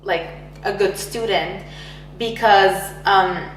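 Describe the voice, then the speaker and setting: A woman's voice: mid-range voice, slow pace, faint music behind. A young woman from Egypt talking casually in an interview, with light laughs and lots of filler words. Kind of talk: casual oral history interview. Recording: roomy echo, distant mic, faint hum.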